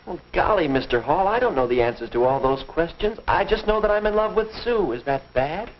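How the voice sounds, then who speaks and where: A guileless, lovestruck lad of nineteen talks earnestly in a lightly high voice with very animated intonation. It is 1950s instructional film acting on a slightly noisy old soundtrack. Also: male voice, fairly quick, lo-fi sound. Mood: sincere, questioning. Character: boyish, sincere, lovestruck nineteen-year-old suitor.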